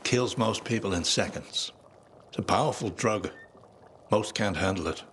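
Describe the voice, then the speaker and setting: Guy voicing a tired cynical ex-soldier, low dry voice, faint hiss behind. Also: moderate pace, a bit bright, close to an everyday voice. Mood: weary. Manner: dry, cynical, world-weary. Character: jaded, gruff middle-aged male ex-soldier. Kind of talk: video game cutscene voice acting.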